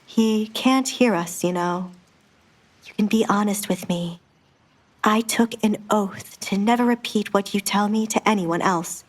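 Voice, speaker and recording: A woman's voice: medium pitch, a little slow, natural voice, poor audio. A woman voicing a wary, well-meaning male priest in an audio drama, with a dry, reproachful, muttering manner. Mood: hushed, earnest.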